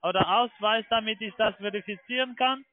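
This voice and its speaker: A man speaking halting, ungrammatical German with a foreign accent, making an improvised sales call over the telephone. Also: sits high, dry recording. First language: not German. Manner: halting, ungrammatical, often ending on "ne?". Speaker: phone scam caller posing as broker. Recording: muffled narrow phone line.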